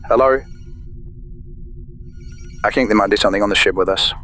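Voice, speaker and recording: Man acting an uneasy low-voiced man aboard ship. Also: normal speed, fairly bright tone, soft background music, close to an everyday voice. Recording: clear background noise, ambience.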